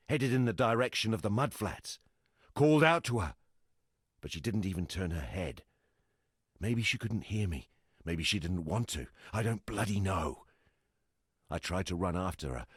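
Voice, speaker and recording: A deep, husky, weary exile. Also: male voice, no echo, studio quality, heavily acted. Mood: troubled, urgent. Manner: blunt, earthy, salty-tongued. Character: gruff, weary, plain-spoken exiled former smuggler, adult man. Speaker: game character voice actor. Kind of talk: scripted video game character dialogue.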